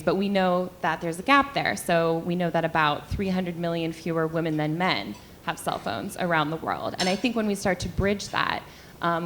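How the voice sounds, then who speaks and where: A young woman with a slightly low voice speaks candidly on a panel, chatty and loose with fillers. A faint hiss sits under her voice at the luncheon. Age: young adult. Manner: candid, conversational, frequent fillers. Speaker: panelist, sex and relationship editor. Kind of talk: panel discussion remarks. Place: luncheon event hall.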